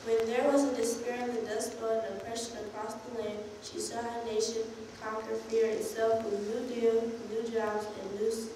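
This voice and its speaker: A woman's voice: on the high side, flat delivery, moderate pace, few pauses. A young woman reading a political speech aloud at a church service. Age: young adult. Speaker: congregation youth member reading a speech. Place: large church, indoors. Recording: very echoey church, far off, faint noise.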